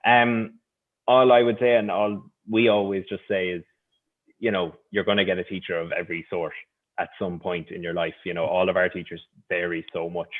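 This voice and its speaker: Irish man with a deep phone-line voice. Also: level tone, normal speed. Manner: hesitant, lots of fillers. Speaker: Irish language teacher. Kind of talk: casual panel discussion. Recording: tinny phone-like audio.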